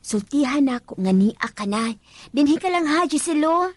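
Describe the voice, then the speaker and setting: An actress with a Cebuano Filipino accent playing a brisk, helpful female friend in a radio drama, speaking colloquially. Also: high-pitched, lively intonation, no room sound. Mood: brisk, excited. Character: brisk, helpful woman bringing a friend to a rich household. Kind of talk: Philippine radio drama acting.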